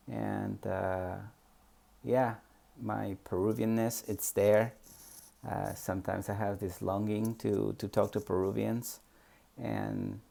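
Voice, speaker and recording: Soft-spoken man, gravelly and dark. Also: lowish voice. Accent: Spanish. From Peru. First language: Spanish. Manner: soft-spoken, hesitant, many fillers. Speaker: memoir storyteller. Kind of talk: personal reminiscence.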